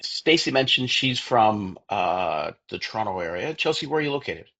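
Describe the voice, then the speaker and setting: Husky, lively male webinar host. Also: fairly low pitch. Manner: upbeat, brisk moderator. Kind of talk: moderating a webinar panel.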